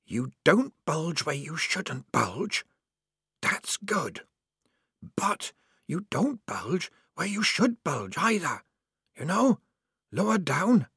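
A man voicing an unseen, conspiratorial stranger in an audiobook, giving patient, wry advice in a hoarse, hushed whisper. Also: sits high, huge pitch swings, very slow, long pauses, no echo, studio quality, pitched above the performer's own voice, exaggerated voice. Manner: patient, hushed, matter-of-fact. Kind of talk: audiobook character voice.

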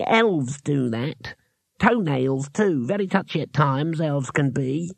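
A man voicing a small, cautious male gnome in a fantasy audiobook, speaking slowly and hedging. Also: a little high, lively intonation, vocal fry, no echo, full character voice. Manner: slow, hesitant, hasty to qualify.

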